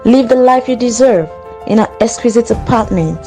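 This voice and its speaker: An announcer delivers a polished promotional read. The pitch sits mid-range. Clear background music plays under the voice. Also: moderate pace, mellow. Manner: smooth, promotional. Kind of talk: scripted radio ad read. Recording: voice-over with music bed.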